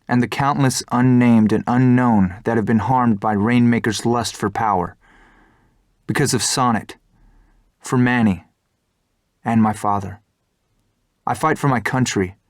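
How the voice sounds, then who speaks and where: An actor playing a determined young fighter pilot hero in a video game, earnest and resolute, recorded dry over music. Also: male voice, mid-range voice, no echo, close to an everyday voice. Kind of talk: video game cutscene acting and dramatic monologue.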